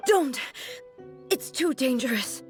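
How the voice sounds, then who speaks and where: A woman's voice: high voice, lively intonation, slow, soft background music. A woman voicing a dignified, solemn warrior goddess ruler in a video game, with formal, composed phrasing. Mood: strained, protective.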